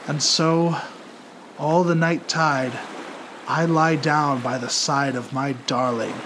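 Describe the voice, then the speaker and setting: A man narrating poetry in a theatrical, solemn and measured dramatic recitation. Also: fairly high pitch, steady tone, background noise. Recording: loud music under the voice. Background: music.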